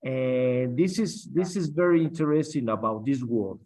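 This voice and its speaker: A middle-aged man whose first language is Spanish speaks slowly and haltingly, with many fillers and restarts. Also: medium pitch, darkish tone. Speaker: guest captain. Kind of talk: interview on aviation.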